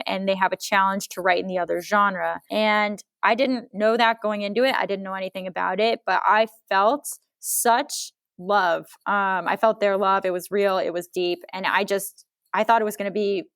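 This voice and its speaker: Smooth-voiced woman, dry close sound. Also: mid-range voice, a bit bright, no room sound. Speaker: guest novelist. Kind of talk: casual interview conversation.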